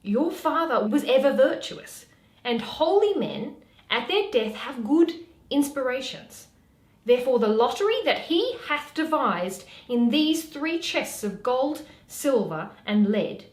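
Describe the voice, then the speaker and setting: A woman playing a sensible, loyal waiting-maid who gently advises her mistress in a measured Shakespeare reading. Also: high voice, full character voice. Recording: echoey room.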